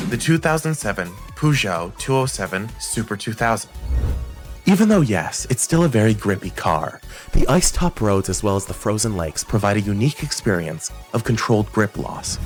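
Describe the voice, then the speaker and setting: A man delivering scripted review narration as a video game reviewer, with a playful, opinionated manner. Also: fairly low pitch. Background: music.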